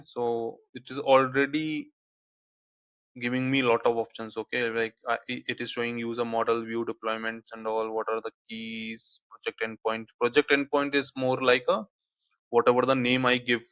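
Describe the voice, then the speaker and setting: A man whose first language is Hindi, walking through a software tutorial on screen, peppering his speech with "okay, like" fillers. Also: mid-range voice, clear, resonant tone, dry recording. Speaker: tutorial instructor.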